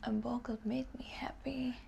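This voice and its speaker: A woman's voice: a little high, flat delivery, normal speed, gravelly, dark tone, slight background noise. A woman book vlogger chatting casually and informally to camera, musing aloud with some hesitation. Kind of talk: casual chat to camera, answering tag questions.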